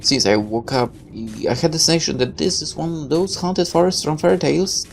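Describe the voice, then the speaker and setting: A woman's voice: low voice, lively intonation, brisk, gravelly, darkish tone, a little hiss or hum. A woman playing a visual novel, reading the text aloud and dropping irreverent, sarcastic asides. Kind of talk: game playthrough, reading text aloud with offhand commentary.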